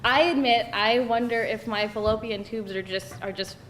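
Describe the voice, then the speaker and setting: A woman's voice: on the high side, normal speed, natural voice. A woman acting a candid, wry young woman telling stories in a live screenplay reading. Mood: exasperated, amused. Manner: animated, hesitant storytelling peppered with laughter. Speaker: actor at a screenplay table read. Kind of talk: conversational live script reading. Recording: echoey room with faint noise.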